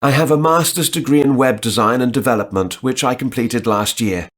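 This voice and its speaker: A man acting as a relaxed job applicant in a textbook listening dialogue. He answers at a mid pitch and pauses to think aloud between answers. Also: lightly acted.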